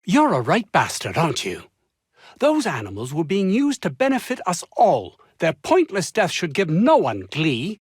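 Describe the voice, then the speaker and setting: A haughty, ambitious scientist from a video game. His voice sits fairly high for a man and swings expressively, with fussy, formal diction and an anxious edge. The sound is dry and close. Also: wildly swooping pitch, moderate pace, slightly rough, no room sound, full character voice. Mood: disdainful, irritated. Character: pompous, ambitious, anxious male research scientist. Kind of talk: theatrical game dialogue.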